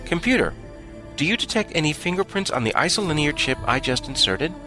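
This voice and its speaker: A man plays an efficient starship officer who speaks in crisp, commanding bursts. His voice sits a little high and moves lively. A loud music score plays underneath. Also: fairly quick. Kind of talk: fan audio drama acting.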